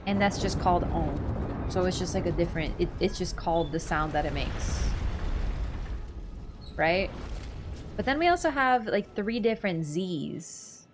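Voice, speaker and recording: A woman's voice: fairly low pitch, animated, unhurried, long pauses, background noise. A woman streamer chatting casually with her chat while she plays a game, laughing easily and answering questions. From Poland. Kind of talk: casual gaming livestream chat.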